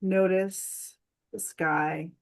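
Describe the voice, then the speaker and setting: Meditation guide: woman, lowish voice, very slow delivery, gentle and soothing, generous pauses. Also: fairly even intonation.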